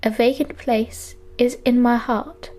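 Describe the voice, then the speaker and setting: A woman recites verse very slowly. Her voice is a little high. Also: steady tone, a little mellow.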